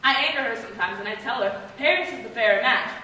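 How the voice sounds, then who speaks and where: A woman's voice: a little high, quick pace, bright, forceful tone. A woman acting a garrulous, weary, teasing old nurse in a Shakespeare play, theatrical and complaining. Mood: chatty, fond. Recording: echoey hall, distant mic, faint noise.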